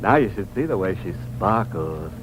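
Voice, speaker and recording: An actor playing the sinister, joking host of a 1950s horror radio drama, full of macabre puns. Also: male voice, low voice, lively intonation, moderate pace, a little hiss or hum, rough recording. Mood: ghoulish glee. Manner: macabre punning, gleeful chuckling. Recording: old radio broadcast with music.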